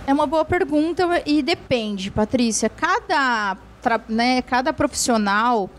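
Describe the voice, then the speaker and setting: A woman's voice: sits high, expressive, a little slow. A woman lawyer answering viewer questions on a TV show, explaining patiently with frequent tag questions and restarts. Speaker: lawyer, guest expert.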